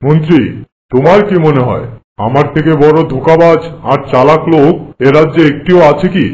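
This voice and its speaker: A man playing a boastful, haughty king in an animated folk tale, speaking in a pompous, commanding way. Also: fairly high pitch, normal speed, lightly acted, poor audio. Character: boastful, haughty king who prides himself on trickery.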